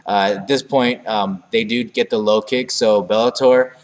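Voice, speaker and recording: A man's voice: lowish voice, moderate pace, in a large hall. A man co-hosting a casual fight-sports talk show, rambling and then ranting with emphasis. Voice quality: monotone at times. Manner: rambling, rising to emphatic rants. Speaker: podcast co-host.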